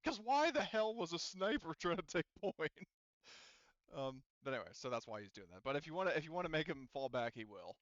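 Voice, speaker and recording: Male game master, smooth and resonant, loose halting table talk, dry muffled recording. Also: fairly high pitch, sing-song, a bit bright, no echo. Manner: rambling, halting, peppered with filler phrases.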